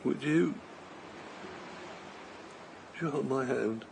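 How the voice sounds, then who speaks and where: An actor playing a gentle elderly man, humble and bewildered, in a radio drama, speaking in a hesitant, tender, self-deprecating way. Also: medium pitch, takes it slowly, vocal fry, noisy background. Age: older. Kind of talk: BBC radio drama acting.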